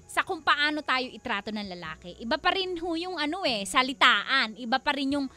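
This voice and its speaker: A woman's voice: high-pitched, sing-song, normal speed, bright, forceful tone, soft background music. A woman with a Filipino accent co-hosting a radio show in casual, playful banter, with teasing exclamations and dramatic emphasis.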